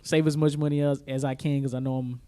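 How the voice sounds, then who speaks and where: A man's voice: mid-range voice, flat delivery, fast, mellow. A man co-hosting a podcast in casual chat, with an informal, slangy, easygoing way of talking. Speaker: podcast co-host. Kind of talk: casual chat about pop culture.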